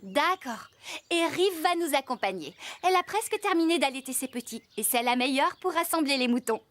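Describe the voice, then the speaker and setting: A woman playing a brisk, caring woman farmer in a children's cartoon, firm and organised as she gives instructions. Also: sits high, animated, a bit bright, dry recording.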